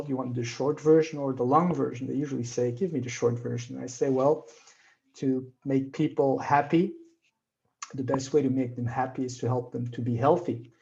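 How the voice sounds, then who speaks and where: Belgian man in his fifties, mellow. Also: medium pitch, lo-fi sound. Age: 50s. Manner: earnest, explanatory, slightly non-native phrasing. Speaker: guest speaker. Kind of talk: presentation on a video call. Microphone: video call.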